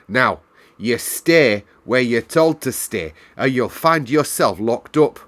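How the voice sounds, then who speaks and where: A man's voice: medium pitch, animated, takes it slowly, fairly bright tone, voice pushed up. A man voicing a cross, bossy housekeeper woman in a storybook, scolding and commanding in rustic dialect wording.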